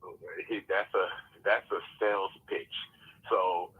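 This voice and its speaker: A man's voice: low-pitched, fairly even intonation, slow pace, slightly rough, narrow, tinny audio. An American man in his fifties coaching in casual conversation, explaining with examples, over what sounds like a phone. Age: 50s. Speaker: mentor giving business advice.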